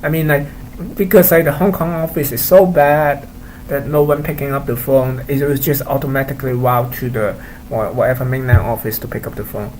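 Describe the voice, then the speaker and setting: Cantonese-native guy venting to his viewers, lots of uh and like, keeps restarting, normal pitch. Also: little pitch movement, darkish tone, slight background noise, poor audio. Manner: halting, full of fillers and restarts, complaining. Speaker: vlogger. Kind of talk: casual monologue to camera.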